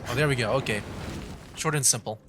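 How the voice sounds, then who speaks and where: A man's voice: medium pitch, fairly quick, noisy background. A man chatting casually as he streams a game, with informal nerdy asides. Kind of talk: casual gaming stream commentary, reading some dialogue aloud. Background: game music.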